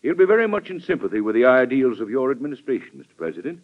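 An actor playing a proud, idealistic Secretary of State in an old-time radio drama, formal, emphatic and declamatory. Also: male voice, mid-range voice, sing-song, rapid-fire. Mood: formal, earnest. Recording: dull vintage radio recording.

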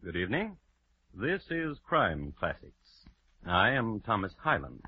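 A man hosting an old-time radio crime show, telling the story in a dry, deadpan, wry way. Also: on the low side, unhurried, slightly rough. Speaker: host and narrator. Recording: dull vintage radio recording with music.

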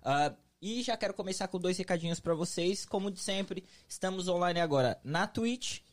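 A man's voice: high-pitched, normal speed. A man co-hosting a podcast, talking upbeat and straight to the viewers. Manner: upbeat, addresses viewers directly. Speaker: podcast co-host.

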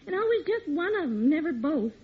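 An actress playing a suspicious, long-suffering American housewife with a pointed manner in an old radio sitcom. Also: female voice, extremely high pitch, big pitch swings, a little fast. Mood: exasperated. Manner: pointed, exasperated. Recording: tinny vintage radio recording.

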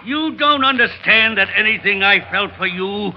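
An actor playing a bitter, jealous escaped convict in an old radio drama, forceful and accusing. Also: male voice, high voice, animated, moderate pace, a little hiss or hum. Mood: bitter, wounded. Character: bitter, jealous escaped convict, male smuggler, armed and betrayed. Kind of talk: 1940s radio drama acting. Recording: dull old radio recording, faint music.

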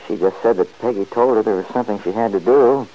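A man playing a restless, stubborn middle-aged man who answers gruffly and defensively, in 1940s radio acting. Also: lowish voice, quick pace, dull audio, slight background noise, close to an everyday voice.

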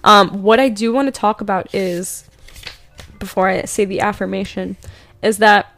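A young woman hosting a casual spiritual chat, rambling with many fillers and slowing down to read out affirmations. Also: medium pitch, fairly even intonation, vocal fry, a bit bright. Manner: rambling, filler-heavy, laughs often, slows down for affirmations. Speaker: podcast host doing card readings. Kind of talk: casual spiritual chat with tarot-style readings.

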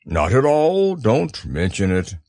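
A man reading a storybook aloud, voicing a gloomy, self-pitying old male donkey in a slow, mournful drawl. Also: on the low side, huge pitch swings, darkish tone, dry recording, clean studio sound, full character voice.

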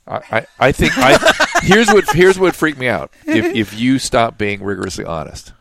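A middle-aged man hosting a casual podcast as a doctor, often halting and repeating his words as he talks. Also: mid-range voice, sing-song, creaky, a bit bright. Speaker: podcast host, addiction doctor.

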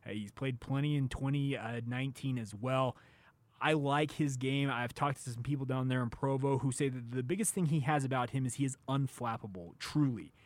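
A man's voice: medium pitch, moderate pace, no echo. American man hosting sports talk, close dry sound. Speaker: sports show host. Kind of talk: conversational sports commentary.

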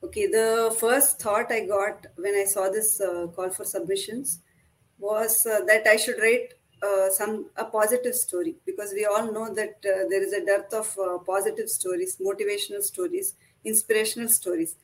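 A woman's voice: mid-range voice, fairly even intonation, moderate pace, poor audio. Hesitant woman author, Kannada native. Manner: hesitant, many fillers. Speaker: author guest. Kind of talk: interview answers.

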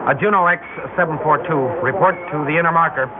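An actor in a classic radio drama playing an anxious, cornered air traffic controller who tends to stammer. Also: male voice, fairly high pitch, fast talker, lightly acted. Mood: tense, procedural. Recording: tinny, noisy old radio broadcast.

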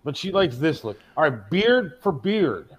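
A man's voice: fairly high pitch, big pitch swings, a little slow, husky. A middle-aged man hosting a talk show, chatting and bantering informally with a salty tongue. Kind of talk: casual chat and banter.

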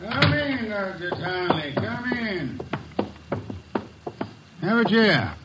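An actor playing a shrewd middle-aged detective in a 1940s radio drama, asking brisk, probing questions. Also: male voice, high voice, very animated, very noisy, exaggerated voice.

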